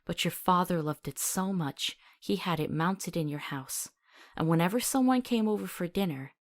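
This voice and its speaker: A woman's voice: on the low side, moderate pace, close to an everyday voice. A woman playing a compassionate young doctor in a visual novel, talking tenderly and coaxingly as if telling a soothing story. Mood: tender, wistful.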